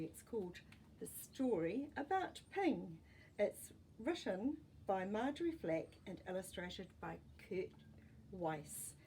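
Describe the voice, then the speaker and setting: Female storyteller for children's picture books, dark mellow timbre, mid pitch, expressive and deliberate, slight background noise. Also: rough recording. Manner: deliberate, expressive phrasing for young listeners. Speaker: storytime host reading a children's book. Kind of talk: read-aloud children's storytelling with asides.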